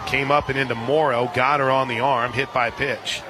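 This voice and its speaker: A man doing live radio play-by-play commentary of a softball game. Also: medium pitch, flat delivery, normal speed, over music. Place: outdoor softball stadium broadcast position. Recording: outdoor ballpark, clear crowd noise behind.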